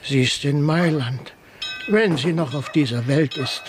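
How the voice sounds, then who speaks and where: An older man acting a fearful, fretful old village priest in a radio play, anxious, pleading and halting. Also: on the high side, background noise, close to an everyday voice. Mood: startled, wary.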